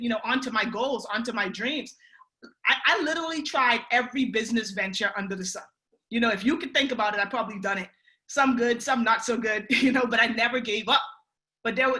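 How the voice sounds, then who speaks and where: A woman's voice: on the high side, bright, forceful tone. A woman in her thirties from the Bahamas with a Bahamian accent, telling her life story on a mentorship video call in an upbeat, chatty way. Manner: upbeat, chatty, lots of "you know" and "right". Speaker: guest speaker on a mentorship call. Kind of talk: motivational personal story.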